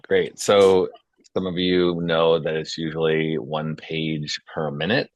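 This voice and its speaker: Male host and script narrator, deep voice, informal delivery, dry sound. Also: level tone, slow, no room sound, dull audio. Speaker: host and stage-direction reader. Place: video call.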